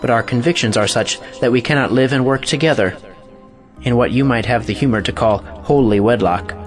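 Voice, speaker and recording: A man acts out a principled doctor in a stage play. His speech is formal, measured and unbending, with a medium male pitch and faint music behind. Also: natural voice. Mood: firm, formal. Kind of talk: theatrical audio play acting.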